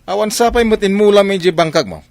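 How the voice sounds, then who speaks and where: A man's voice: high voice, quick pace, vocal fry, a little mellow, soft background music. A man with a Filipino accent playing a stern, exasperated elder brother who scolds and grumbles in a village comedy radio drama. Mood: scolding.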